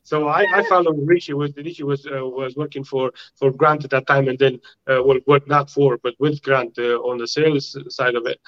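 A man's voice: mid-range voice, steady tone, a little fast, hardly any pauses, fairly bright tone, muffled recording. A man from Romania with a Romanian accent, a guest telling his story in a casual interview, often repeating words as he searches for the phrasing.

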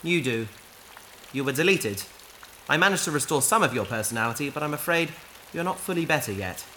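Casting: woman as dry, patient space adventurer, bright and expressive, gently correcting, sci-fi audio drama over faint ambience. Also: deep voice, brisk, slight background noise. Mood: wry. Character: patient, wry adventurer minding a wayward AI companion.

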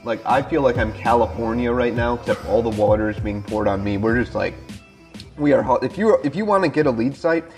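A man co-hosting a podcast, talking casually with lots of fillers and quick laughs over a remote call. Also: medium pitch, darkish tone, faint music behind. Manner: fast, filler-heavy, laughing easily. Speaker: co-host. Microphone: remote call mic.

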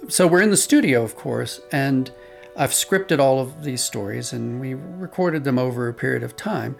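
A man's voice: medium pitch, animated, moderate pace, creaky, faint music behind. A man being interviewed as an audio drama producer, explaining conversationally and telling anecdotes with quoted dialogue.

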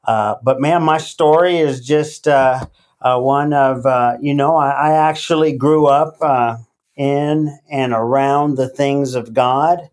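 A middle-aged man who grew up in Latin America talks slowly and hesitantly, with lots of fillers and restarts. His pitch sits in the middle range. He sounds like a guest settling into a casual interview. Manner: halting, many fillers and restarts. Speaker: podcast guest.

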